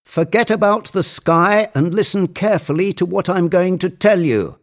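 A man voicing an excited, bossy, obsessive character who gives urgent orders in an agitated storybook tale. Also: high-pitched, normal speed, pitched above the performer's own voice. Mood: commanding. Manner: imperious, agitated, exclaiming.

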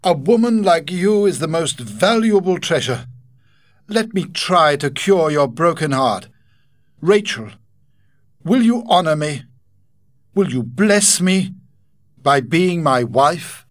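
A man's voice: a little high, animated, slow, long pauses, voice pushed up. A man voicing an ardent, lovesick young suitor in a spoken storybook, effusive and pleading. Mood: adoring.

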